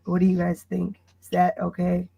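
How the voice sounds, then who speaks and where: Hoarse woman calling into a stream. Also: lowish voice, monotone, normal speed, mellow, poor audio. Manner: polite, hesitant, eager. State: losing her voice. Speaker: caller joining a stream.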